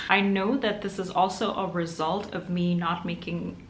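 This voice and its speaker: A young woman whose first language is German talks chattily about her reading in an upbeat vlog, her voice sitting a little low. She is recorded in an echoey room at home, with a faint rumble behind her. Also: steady tone, unhurried. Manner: chatty, upbeat, with light laughs. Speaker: book vlogger.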